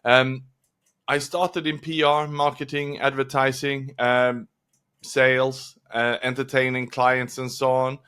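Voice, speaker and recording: A man from Sweden, a guest author answering interview questions in a reflective, measured way. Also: medium pitch, level tone, fairly bright tone, clear, resonant tone.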